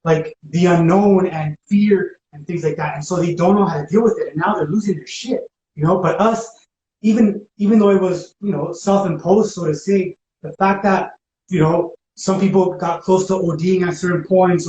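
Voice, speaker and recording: A man's voice: high voice, steady tone, normal speed, a little mellow, lo-fi sound. A man talking casually and reflectively, in informal everyday language. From spent teenage years in Ecuador. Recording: roomy echo, faint background noise.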